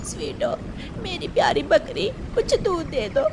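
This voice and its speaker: A woman's voice: extremely high pitch, huge pitch swings, a little slow, noisy background, heavily acted. A woman voicing a distressed, poor mother crow in a cartoon story, pleading and tearful for her child. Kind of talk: Hindi cartoon story voice acting.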